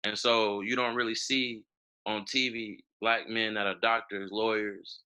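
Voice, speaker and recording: A man's voice: lowish voice, flat delivery, unhurried, sharp tone, smooth. A young man from Chicago, an educator speaking earnestly as a podcast guest and often adding "you know". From Chicago, United States. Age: young adult. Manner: earnest, repeats words while thinking, frequent "you know". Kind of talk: conversational interview answer.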